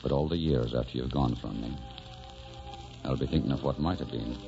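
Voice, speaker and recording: An actor playing a tender, wistful Irish rebel who dreams of farm life, speaking with an Irish lilt in old-time radio drama style. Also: male voice, very deep voice, a little fast, creaky. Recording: dull vintage radio recording with music.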